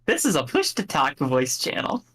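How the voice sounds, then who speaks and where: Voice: medium pitch, wildly swooping pitch, moderate pace, a bit bright. A playful, wry speaker bantering casually as a guest running a game over voice chat. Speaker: guest on a live stream, running a tabletop game. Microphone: voice chat on a computer.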